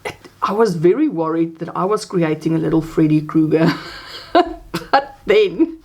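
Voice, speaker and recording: A chatty South African woman in her forties, her first language Afrikaans, talks on camera about crochet. Her voice sits a little low and leaps up in pitch as she gets animated. She is seated at a desk in a small home craft room, close to the camera mic. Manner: chatty, animated pitch jumps, laughs often. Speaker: crochet vlogger. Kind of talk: casual show-and-tell chat.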